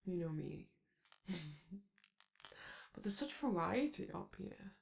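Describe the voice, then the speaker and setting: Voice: medium pitch, very animated, slow pace, vocal fry, full character voice. A performer voicing a flamboyant male robot TV star, vain and charming, who talks theatrically and flirts with the listener in a romantic audio roleplay. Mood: fond, theatrical.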